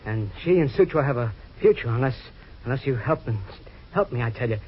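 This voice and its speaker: A man plays a jittery, paranoid husband who pleads and stammers in a rush. He sounds mid-pitched and on edge. The old radio sound is dull and faintly hissy. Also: animated, brisk, natural voice. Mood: frantic. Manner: anxious, stammering. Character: frantic, nervous husband, panicky, paranoid. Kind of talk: 1940s radio drama acting.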